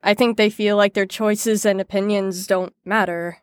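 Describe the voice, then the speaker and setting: An actress playing an earnest, dutiful female clinician in an audio drama, measured and clinical. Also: medium pitch, steady tone, clear, resonant tone, no room sound, studio quality, close to an everyday voice. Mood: earnest, persuading.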